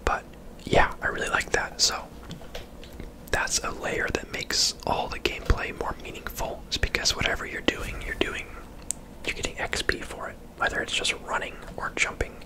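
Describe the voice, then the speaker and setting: A man giving casual, unhurried ASMR game commentary in a conversational whisper as he plays. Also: low-quality recording. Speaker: ASMR gaming host.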